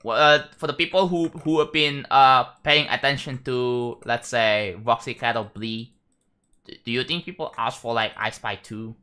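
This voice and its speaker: A young man from Indonesia with an Indonesian accent, chatting casually on a live stream, rambling and halting with frequent restarts. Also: medium pitch, steady tone, bright, forceful tone, smooth. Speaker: livestreamer.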